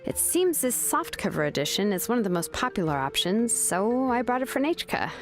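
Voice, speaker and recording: An actress playing a relaxed, friendly young woman in a game, with faint music behind. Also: a little high, a little fast. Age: young adult. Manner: relaxed, casual, affectionate. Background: soft game music.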